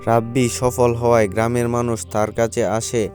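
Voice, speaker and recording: Male narrator for a cartoon tale, average pitch, scripted storytelling over a light music bed. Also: level tone, moderate pace. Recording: faint music behind the voice.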